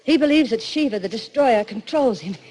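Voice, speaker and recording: A woman's voice: on the high side, lively intonation, fairly quick, a little mellow, low-quality recording. An actress playing a frightened, earnest young woman in a 1940s radio drama, with an urgent, melodramatic delivery. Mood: fearful, urgent. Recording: vintage 1940s radio recording.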